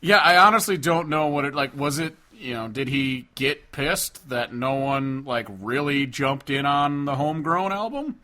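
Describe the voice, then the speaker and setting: A man's voice: mid-range voice, normal speed, hardly any pauses, pushed and bright. Incredulous, foul-mouthed man chatting casually. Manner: blunt, profane, incredulous. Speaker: podcast co-host. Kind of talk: casual music discussion.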